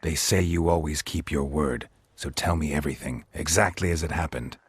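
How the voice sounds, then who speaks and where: A grim monster hunter in a fantasy game. His voice is deep and raspy, dryly recorded, and his delivery is terse, stern and restrained. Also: normal speed, no room sound, exaggerated voice. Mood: stern demand. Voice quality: gravelly, husky. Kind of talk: video game dramatic voice acting.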